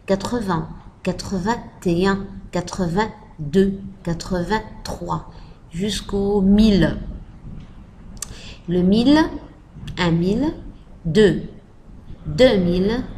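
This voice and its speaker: A woman giving a French lesson, talking slowly and repeating model phrases for learners. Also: mid-range voice, a little mellow, slight background noise, rough recording. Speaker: French teacher. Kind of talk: slow spoken language lesson.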